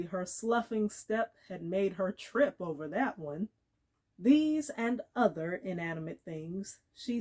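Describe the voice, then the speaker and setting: A woman giving a dramatic literary reading, measured and expressive, with deliberate pauses. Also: mid-range voice. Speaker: actor reading aloud.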